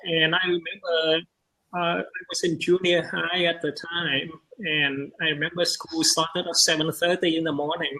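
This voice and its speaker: A transgender woman in her fifties who grew up in Vietnam, speaking slowly and hesitantly as a guest on a video call. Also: low-pitched, flat delivery, bright, forceful tone, rough recording. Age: 50s. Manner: slow, hesitant, with many pauses. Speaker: guest, nonprofit board president. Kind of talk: casual video call interview.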